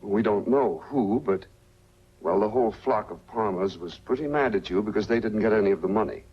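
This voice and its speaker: An actor playing a fair-minded, cautious small-town police chief in a 1940s film, folksy and deliberate. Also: male voice, on the low side, breathy edge. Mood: reluctant. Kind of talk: 1940s film noir acting. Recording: dull, faintly noisy 1940s film soundtrack.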